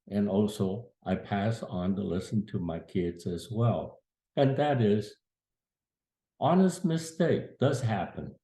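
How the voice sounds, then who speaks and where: A man's voice: fairly low pitch, mellow. A man in his eighties telling a family story from his youth, plain-spoken and earnest. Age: 80s. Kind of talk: casual spoken memoir. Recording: echoey room.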